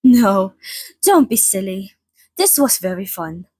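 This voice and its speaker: A woman playing a polite, chatty old vampire being interviewed in an ASMR roleplay, speaking in a slightly formal, gently wry way. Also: mid-range voice, very animated, takes it slowly, breathy edge, no echo, heavily acted. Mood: friendly, courteous. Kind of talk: ASMR roleplay, in-character monologue to listener.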